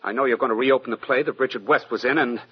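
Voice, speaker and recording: An actor playing a desperate, pushy young stage hopeful in an old-time radio mystery, urgent and insistent. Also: male voice, medium pitch, quick pace, natural voice. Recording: muffled, hissy old radio recording.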